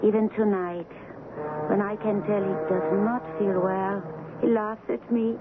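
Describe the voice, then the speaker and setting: An actress playing a proud, loyal, spirited captain's woman in a radio drama, with deliberate, formal phrasing. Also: mid-range voice, steady tone, close to an everyday voice. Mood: affectionate, serene. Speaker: actor. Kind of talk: 1970s radio drama acting. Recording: noisy, tinny old radio broadcast.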